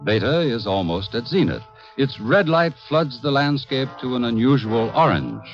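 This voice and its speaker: A man narrating a 1950s radio drama in a measured, literary, dramatic manner. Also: medium pitch, very animated, soft background music. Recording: dull 1950s radio broadcast recording.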